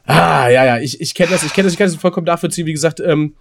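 Fast-talking man in casual chat. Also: fairly high pitch, gravelly, slight background noise. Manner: colloquial, repeats himself for emphasis. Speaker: podcast co-host.

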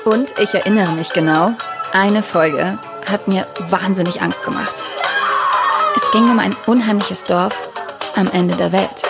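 An actress playing an obsessive young woman podcaster narrating an audio-drama mystery in a deliberate, ominous way. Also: medium pitch, gravelly. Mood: uneasy, remembering. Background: music.

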